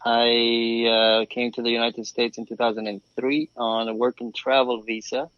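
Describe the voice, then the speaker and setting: Male podcast guest, mid-pitched voice, relaying experiences conversationally over a slightly lo-fi call. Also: little pitch movement, normal speed, dry recording. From Romania. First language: Romanian. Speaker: podcast guest, flooring craftsman. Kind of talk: conversational interview storytelling. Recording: slightly dull, dry remote call.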